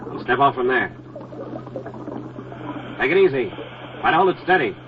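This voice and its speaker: An actor playing a brave, commanding Navy deep-sea diver in 1940s radio drama style, speaking in clipped, terse phrases. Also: male voice, big pitch swings, normal speed, narrow, tinny audio, close to an everyday voice. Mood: terse, tense. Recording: noisy, band-limited 1940s radio recording.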